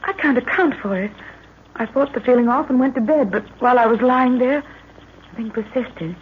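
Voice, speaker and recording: Actress as high-voiced anxious radio heroine. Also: female voice, moderate pace, close to an everyday voice. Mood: troubled, confiding. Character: anxious, affectionate young heroine, professor's daughter. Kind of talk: 1940s radio acting. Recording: muffled, noisy old radio recording.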